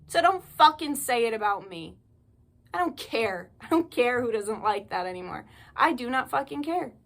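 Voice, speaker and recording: A woman's voice: fairly high pitch, animated, moderate pace, a bit bright. A young woman delivering a heated, profanity-laced monologue straight to her viewers, ranting and pleading. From United States. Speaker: spiritual channel host. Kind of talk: impassioned monologue to camera.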